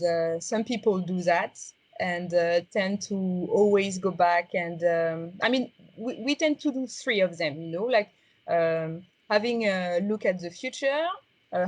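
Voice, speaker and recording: A woman with a strong French accent, answering interview questions in a reflective, halting way with many ums and uhs. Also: medium pitch, dry recording, low-quality recording. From France. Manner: halting, many fillers and restarts.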